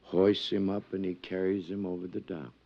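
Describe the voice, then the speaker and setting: Vague, pious dockside paper seller: very deep, rambling, stubborn, for a muffled fifties radio play. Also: male voice, little pitch movement. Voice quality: gravelly creaky voice. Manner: rambling, evasive, slow to answer. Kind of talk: 1950s radio drama acting. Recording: dull old radio recording.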